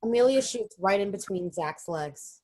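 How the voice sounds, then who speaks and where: A woman's voice: medium pitch, animated, moderate pace, warm tone, close to an everyday voice. A woman reading stage directions as narrator in a virtual reading of a play. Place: remote virtual reading over video call.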